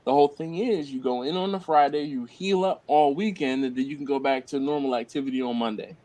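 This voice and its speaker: A man's voice: mid-range voice, brisk, mellow. A man with an American accent hosting a casual late-night chat with friends, laughing often and repeating himself as he tells a story. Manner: laughs often, repeats "I'm telling you". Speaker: host.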